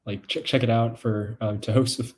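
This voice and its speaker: A young man chatting casually on a video call panel, halting and often repeating his words. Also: medium pitch, fairly even intonation, slight background noise. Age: young adult. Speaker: documentary film subject on a Q&A panel. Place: small room at home, video call.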